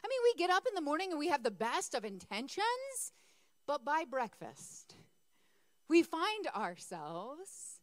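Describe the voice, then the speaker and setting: A woman preaching with a hoarse, worn voice that sits high and swings widely in pitch. She talks to her congregation in a chatty way and asks them to respond. The sound is close and dry. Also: a bit bright, dry recording. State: losing her voice. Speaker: pastor. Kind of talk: sermon.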